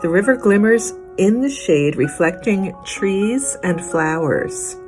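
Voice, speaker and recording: A woman librarian reading a children's picture book aloud in an expressive, deliberate way, drawing out key words. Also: medium pitch, over music.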